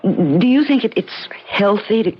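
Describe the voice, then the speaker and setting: A woman's voice: mid-range voice, lively intonation, measured pace, breathy edge. A woman playing a concerned, practical and skeptical wife in a 1970s radio drama, questioning and earnestly reproving. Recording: dull, noisy old radio drama recording.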